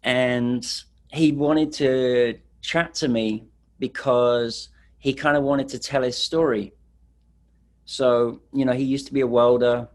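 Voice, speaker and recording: A man's voice: mid-range voice, fairly even intonation, slow pace, darkish tone. A middle-aged man from England, casually telling stories as a street photographer, rambling with fillers. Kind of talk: casual presentation.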